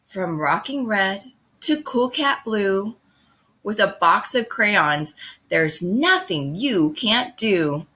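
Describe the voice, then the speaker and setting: A woman reading a children's picture book aloud in an expressive, deliberate way. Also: medium pitch. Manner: slow, expressive, deliberate phrasing. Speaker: storybook reader.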